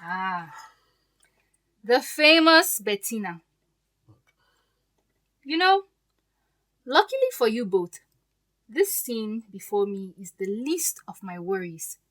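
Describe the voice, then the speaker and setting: A woman's voice: mid-range voice, big pitch swings, slow, long pauses, a bit bright. A woman acting in an audio drama as a poised, resolute fiancée who speaks with pointed, sarcastic politeness. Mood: cool, cutting.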